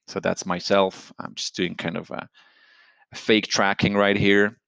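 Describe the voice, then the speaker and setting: Low-voiced man presenting a software demo. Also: little pitch movement, unhurried, gravelly, smooth, dry recording, lo-fi sound. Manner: conversational, unscripted, explains step by step. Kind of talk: screen-share product tutorial, explanatory.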